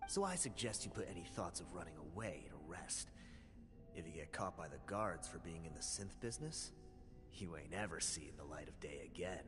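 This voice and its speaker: A man's voice: deep voice, lively intonation, moderate pace. A man playing a smug, menacing crime boss in a video game, taunting slyly, with long reverb on the recording. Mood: smug, threatening. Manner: sly, taunting, drawling threats. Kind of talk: villainous game voice acting. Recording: long reverb under loud music.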